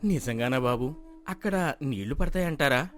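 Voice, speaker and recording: A man's voice: mid-range voice, expressive, moderate pace, a bit bright, faint music behind, lightly acted. A man playing a stubborn, hopeful village farmer in an acted folk tale, speaking earnestly and pleadingly. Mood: hopeful, deferential. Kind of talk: dramatized folk moral story acting.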